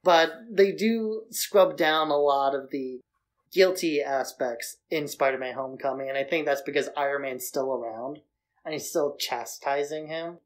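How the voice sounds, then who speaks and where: A man's voice: on the high side, moderate pace. A man talking casually as the co-host of a podcast, in an informal discussion of films.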